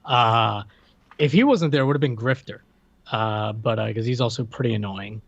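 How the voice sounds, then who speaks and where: A man's voice: mid-range voice, highs cut off. A man co-hosting a podcast in casual chat, talking hesitantly and breaking off mid-sentence. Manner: hesitant, halting, self-interrupting. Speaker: podcast co-host.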